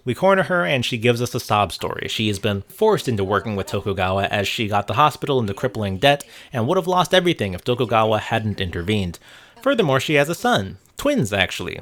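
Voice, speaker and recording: Man with lively, swooping pitch, wry narration. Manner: wry, sarcastic, swears casually. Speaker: host of a video game review channel. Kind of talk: casual video essay narration with commentary.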